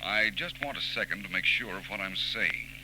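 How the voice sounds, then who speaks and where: A suave radio detective of the 1940s. His voice is deep and moves expressively up and down. He is polite and quietly sure of himself, heard through the noise of an old recording. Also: expressive, heavily acted, low-quality recording. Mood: confident, knowing. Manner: assured, polite, unhurried deduction. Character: confident, urbane amateur detective, quietly knowing.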